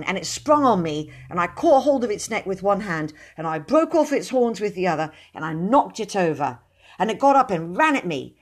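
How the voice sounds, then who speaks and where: A woman's voice: medium pitch, pitched above the performer's own voice. A woman reading aloud voices a boastful young boy who tells tall tales in breathless run-on sentences. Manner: bragging, breathless run-on storytelling.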